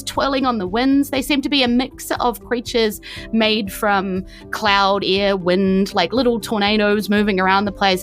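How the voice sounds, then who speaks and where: Female game master narrating over soft music. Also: mid-range voice. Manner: descriptive, conversational, hedging with "like". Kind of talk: narrating a tabletop game, semi-improvised.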